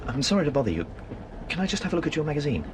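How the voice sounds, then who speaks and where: A man's voice: fairly high pitch, sing-song, racing, background noise. An actor playing an earnest, aggrieved male novelist in a radio drama, pleading and protesting insistently. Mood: hesitant, bemused. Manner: earnest, pleading, swinging between protest and hesitation.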